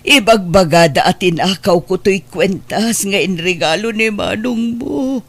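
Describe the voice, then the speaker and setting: A woman's voice: medium pitch, expressive, unhurried. A woman with a Filipino Ilocano accent playing a wronged, plaintive mother in an audio drama. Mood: hurt. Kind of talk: Ilocano radio drama acting.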